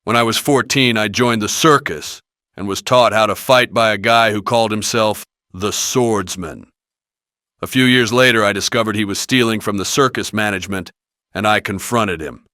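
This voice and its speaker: A man's voice: on the low side, moderate pace, sharp tone, dry recording, clean studio sound. A man voicing a cocky, streetwise hero in a plain-spoken game monologue. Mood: wry resolve. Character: cocky, streetwise male hero recalling his past. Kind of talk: fan-made game character monologue.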